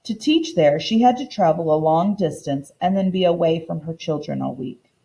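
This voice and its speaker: A woman's voice: lowish voice, animated, moderate pace, vocal fry, mellow, poor audio. A woman teacher reading a children's book aloud and explaining it in simple terms for young listeners.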